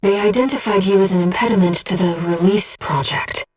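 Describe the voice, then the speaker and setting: A woman voicing a gentle, earnest disembodied being in a video game, guiding a pilot with composed, precise, softly formal delivery. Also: mid-range voice, a little fast, slightly rough, recorded from a distance, close to an everyday voice. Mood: composed, focused. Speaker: mission support operator. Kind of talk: scripted video game voice acting.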